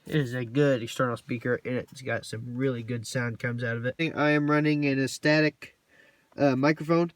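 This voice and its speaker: A man's voice: medium pitch, fairly even intonation, normal speed. A man giving a casual, hands-on walkthrough in a plainspoken, folksy way with colloquial grammar. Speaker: hobbyist presenter demonstrating his radio gear. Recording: distant mic, light background noise, clicks.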